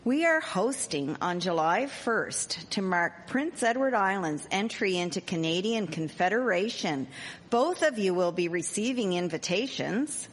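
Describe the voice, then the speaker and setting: A woman playing a highbrow, formal politician's wife of the 1870s in a live radio drama. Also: animated, a little slow. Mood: gracious. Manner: formal, genteel. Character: highbrow politician's wife, genteel society hostess. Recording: echoey hall, live stage recording.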